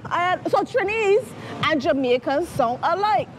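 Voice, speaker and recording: A woman with a Caribbean accent talks fast and laughs, her high voice swinging all over the place. Street noise and a crowd roar around her outdoors. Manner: fast, lively, laughing, repeats herself. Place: busy city street outdoors.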